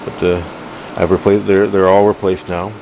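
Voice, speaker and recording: A middle-aged man giving a casual, unscripted hobbyist demo at home, halting and rambling as he corrects himself. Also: low-pitched. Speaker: hobbyist collector reviewing a radio. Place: room at home, indoors. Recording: noisy room with steady background hum.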